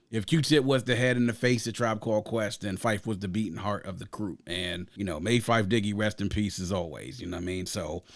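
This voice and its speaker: A man from Grenada hosting a casual podcast review of an album, talking enthusiastically and at length, often adding "you know what I'm saying". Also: lowish voice. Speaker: podcast host. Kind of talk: casual music review chat.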